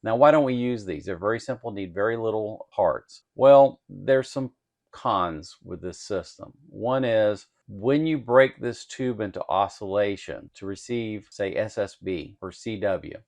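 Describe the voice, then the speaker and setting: A man's voice: lowish voice, a little slow, a little mellow. A man giving an informal technical explanation in a plainspoken, folksy, step-by-step way, like a hobby instructor at a workbench. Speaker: host of an electronics kit-building channel.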